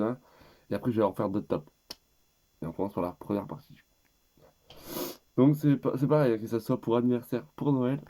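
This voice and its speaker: A man's voice: mid-range voice, mellow. A teenage boy with a head cold, rambling and hesitant as he presents gift picks in a casual vlog. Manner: rambling and hesitant, with many restarts. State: stuffed up with a cold. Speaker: YouTuber.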